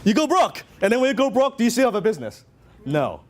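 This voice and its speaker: A man's voice: high voice, sing-song, fast. A middle-aged man from Hong Kong with a Hong Kong Cantonese accent, giving a motivational seminar talk and telling his story with lots of questions to the audience. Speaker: business speaker.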